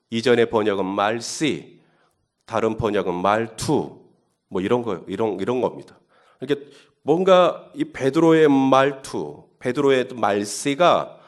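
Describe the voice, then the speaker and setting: A man's voice: mid-range voice, animated, a little slow. Conversational male pastor preaching. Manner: conversational, building to emphatic questions. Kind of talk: sermon at early-morning Holy Week service.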